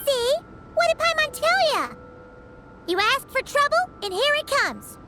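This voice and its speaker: Tiny cheeky game fairy: extremely high childlike squeak, very animated, music underneath. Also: female voice, a little slow, heavily acted. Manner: talks about self in third person, exclamatory. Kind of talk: video game voice acting.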